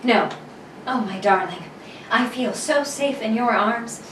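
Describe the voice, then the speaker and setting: A young damsel of a space adventure played by a woman, speaking in a fairly high, melodramatic voice. She is devoted and fretful, recorded from a distance with clear noise. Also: takes it slowly, a bit bright, roomy sound. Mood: adoring. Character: adoring, alarmed young damsel heroine of a space serial. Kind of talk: old radio serial read-through.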